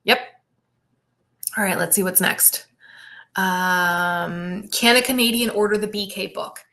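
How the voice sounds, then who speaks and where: A middle-aged woman physical therapist hosting a live Q&A stream, explaining anatomy with enthusiasm and often checking in with "right?". She projects loudly after taking off her microphone. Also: mid-range voice, fairly even intonation, takes it slowly. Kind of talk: live-stream Q&A, casual teaching. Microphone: built-in device mic.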